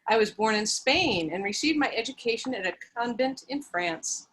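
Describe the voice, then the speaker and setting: A woman's voice: a little high, lively intonation, normal speed, fairly bright tone, rough recording. Woman voicing a young heroine, composed, light hiss behind. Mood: earnest. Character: young woman recounting her origins.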